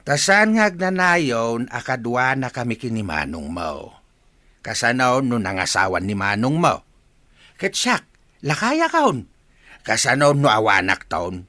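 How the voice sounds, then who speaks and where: A man's voice: medium pitch, big pitch swings, a little slow, bright, forceful tone, dry recording. A man with a northern Philippine accent playing an elderly village father who frets and admonishes in a comedy radio drama. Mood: fretful, advising. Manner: scolding, advising.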